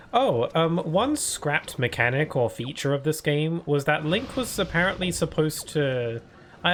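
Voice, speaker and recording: A man's voice: medium pitch, fairly bright tone, a little hiss or hum. A man streaming a game live, chatting loosely to viewers with lots of fillers and restarts, his voice what he calls a permanent cold voice. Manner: answers viewer chat aloud. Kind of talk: casual live-stream chat while playing.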